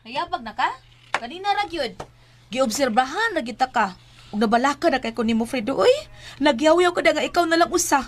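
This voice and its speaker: A woman's voice: sits high, expressive, brisk, background noise. A woman with a Filipino Cebuano accent playing an exasperated, worried wife who nags and complains in a comedic radio drama. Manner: scolding, complaining.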